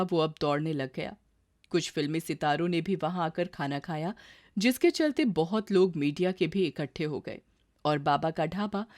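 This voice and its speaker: A woman's voice: fairly low pitch, darkish tone. A woman reading a scripted story as its narrator.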